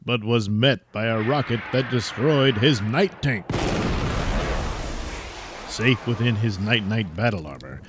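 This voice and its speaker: A man's voice: lowish voice, expressive, unhurried, long pauses. A man narrating a scripted dramatic serial as storyteller, with light noise in the recording.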